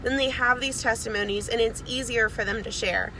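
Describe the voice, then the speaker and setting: A woman's voice: fairly high pitch, fairly even intonation, brisk, noisy background. A young woman getting over a cold, chatting casually and rambling with lots of fillers, recorded on a phone in a car with the air conditioning on. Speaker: network marketing seller. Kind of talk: casual video message.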